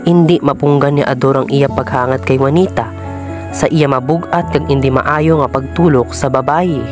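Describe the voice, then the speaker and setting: A man's voice: medium pitch, fairly even intonation, normal speed. A man with a Filipino accent reading scripted narration for a radio drama. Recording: music bed behind the voice.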